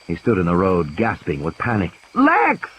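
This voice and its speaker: A man narrating an audio play in a dramatic, suspenseful and deliberate storytelling style. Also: low-pitched, a little hiss or hum. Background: cricket-like night ambience sound effects.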